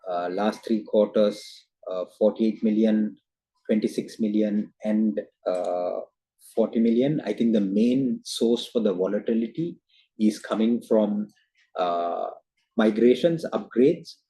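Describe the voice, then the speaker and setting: A man giving a formal investor presentation as a company executive on a video call, halting often with hesitant filler sounds. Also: lowish voice, mellow, husky, rough recording. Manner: hesitant, halting delivery with frequent uhs.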